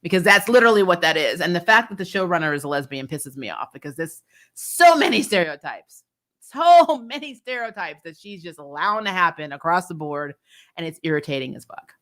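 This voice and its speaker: Bright, blunt woman chatting casually. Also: lowish voice, brisk. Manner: blunt, sweary, animated mock-quoting. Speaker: host of a fan recap show. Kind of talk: casual opinionated TV recap chat.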